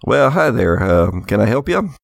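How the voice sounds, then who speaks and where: A man's voice: on the low side, very animated, moderate pace, gravelly, no room sound, clean studio sound, voice pushed up, heavily acted. A man voicing a helpful, informal young police receptionist in a tabletop game. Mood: friendly, obliging.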